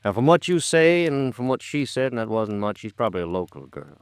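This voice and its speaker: An actor playing a skeptical, plain-spoken police lieutenant in an old-time radio noir drama, with an American accent and a folksy, probing way of questioning. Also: male voice, mid-range voice, big pitch swings, fairly quick, clear, resonant tone, no room sound, close to an everyday voice. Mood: weary, businesslike. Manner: folksy, probing, drops words and endings. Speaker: police detective questioning a witness.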